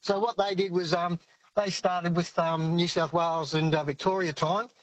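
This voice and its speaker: An older man calling in to a radio show, talking haltingly with many pauses. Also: high-pitched, fairly even intonation, dry recording, poor audio. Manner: halting, many ums and uhs. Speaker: caller. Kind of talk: phone-in comment.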